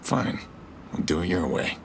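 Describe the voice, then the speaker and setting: An actor playing a haggard, blunt hacker outlaw with a gravelly voice and terse delivery, in a video game cutscene. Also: male voice, unhurried, noisy background, natural voice. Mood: resolute.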